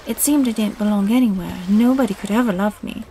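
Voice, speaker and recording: A woman's voice: medium pitch, fast, warm tone, slight background noise, natural voice, low-quality recording. An actress voicing a lonely, sullen young girl who narrates her own story in an audio drama. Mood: wistful. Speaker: narrator and actor.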